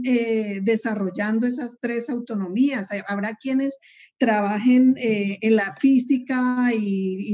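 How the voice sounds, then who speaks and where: A woman's voice: fairly high pitch, mellow. A woman with a Colombian accent, answering as a webinar panelist and thinking aloud with many fillers and repeated words. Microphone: video call. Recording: dull lo-fi online call audio.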